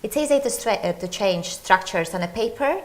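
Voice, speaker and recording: A woman with a Latvian accent introducing herself on a conference panel, measured and listing her points one by one. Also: medium pitch, expressive.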